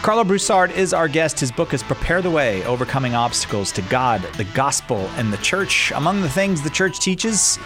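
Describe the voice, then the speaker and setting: A man's voice: on the high side, big pitch swings, normal speed, hardly any pauses, music in the background. A man hosting a call-in radio show, reading the phone number and joking with his guest. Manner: friendly, joking, promotional. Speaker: radio host.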